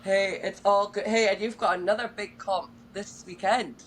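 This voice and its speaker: A woman's voice: medium pitch, steady tone, moderate pace, a little hiss or hum. A woman hosting a casual podcast, bubbly and enthusiastic, casually swearing as she chats. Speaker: podcast host.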